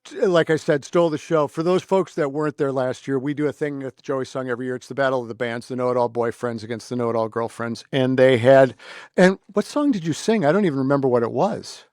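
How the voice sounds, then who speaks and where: A man's voice: mid-range voice, fast, a little mellow, no room sound, studio quality. A man hosting a podcast, talking in a casual, conversational way. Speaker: podcast host. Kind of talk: casual interview chat with some scripted appeals.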